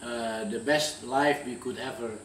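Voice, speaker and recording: Elderly man, eighties, from Indonesia, halting, repeats words, distant mic, roomy echo, faint hum. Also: mid-range voice, steady tone, a bit bright. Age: 80s. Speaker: interviewee. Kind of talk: recalled wartime memories, conversational.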